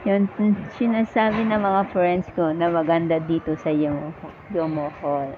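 Slow-talking woman vlogging in a busy mall. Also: fairly low pitch, fairly even intonation. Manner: chatty, joking, addresses viewers as guys. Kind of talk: casual vlog narration to viewers. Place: indoor shopping mall. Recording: dull lo-fi phone audio with mall noise.

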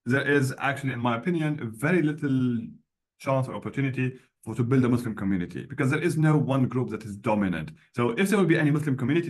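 A man's voice: mid-range voice, darkish tone. A middle-aged man talking from a room with bookshelves over a video call, explaining his views with many restarts and asides. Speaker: interview guest. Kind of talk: casual video call interview.

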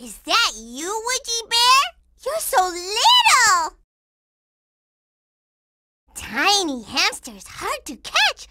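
Very high, bubbly little girl. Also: wildly swooping pitch, drawn-out words, pauses often, pushed and bright, full character voice. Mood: playful. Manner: singsong, gushing, baby-talk. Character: sweet, giggly, bubbly little girl who adores cute animals. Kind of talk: cartoon video game voice acting. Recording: faint noise, game audio capture.

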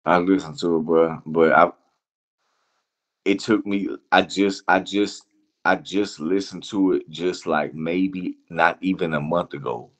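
A man being interviewed as a music producer, talking casually and reflectively in a halting, slangy way, often repeating phrases. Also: deep voice, fairly even intonation.

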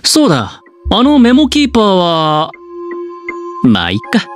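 A man voicing a smug, scheming young gambler in a Japanese anime game, with smooth, teasing, theatrical delivery. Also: high voice, wildly swooping pitch, no echo, full character voice. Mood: smug, confiding. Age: young adult. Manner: smooth, theatrical, teasing, drawn-out dramatic pauses. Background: background game music.

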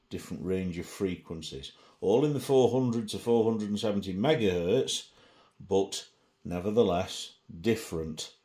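Low-voiced, unhurried man. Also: a little mellow. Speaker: presenter of a gadget review. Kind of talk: casual hands-on demonstration. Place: indoors at a table.

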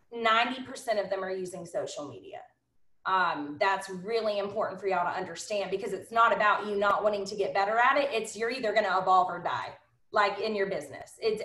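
A woman's voice: medium pitch, fairly bright tone. A woman of thirty giving a casual business training talk, bubbly and emphatic, with lots of "like" and "honestly". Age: 30s. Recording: roomy, echoey sound.

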